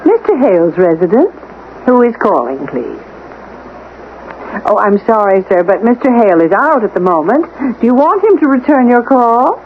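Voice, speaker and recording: A brisk, self-possessed housekeeper, formal and quietly insistent with her gentleman employer. She speaks in the theatrical style of seventies radio drama. The sound is thin and tinny, with a light layer of noise. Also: a little high, very animated. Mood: brisk, polite. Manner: poised, formal and persistent. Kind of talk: 1970s radio drama acting. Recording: tinny, noisy old radio broadcast.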